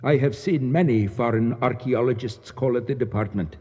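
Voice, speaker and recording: An actor playing a proud, bitter Egyptian nationalist official in a radio drama, speaking formally and precisely with declamatory flourishes. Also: male voice, mid-range voice, moderate pace, mellow, slightly rough, close to an everyday voice. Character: proud, embittered, fanatical Egyptian nationalist official, courteous yet grim. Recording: dull sound with long echoing reverb.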